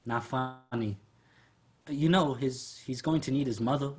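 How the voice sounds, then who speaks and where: A man's voice: mid-range voice, a little slow, slightly rough. A man playing a dry, sardonic agent in a staged reading.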